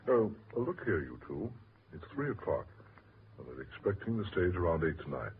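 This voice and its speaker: An actor playing a genteel, credulous British gentleman, earnest and a little hesitant, in a 1940s radio drama. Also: low voice, very animated, normal speed. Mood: genial. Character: genteel, credulous Englishman fascinated by eerie legends. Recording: noisy, tinny 1940s radio recording.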